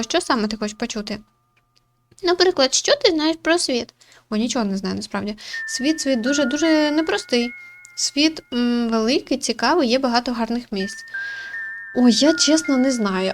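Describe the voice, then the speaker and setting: High-pitched chatty woman playing a game. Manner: chatty, playful, muttering asides. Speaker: gaming video creator, player. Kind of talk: casual playthrough commentary, reading visual novel dialogue aloud. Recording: music behind the voice.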